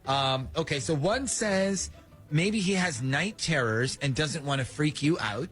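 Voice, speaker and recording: A man's voice: fairly high pitch, unhurried, loud music behind the voice. A man hosting a morning radio show, chatting with a caller and reading listener texts with lots of fillers. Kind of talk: morning radio prank call.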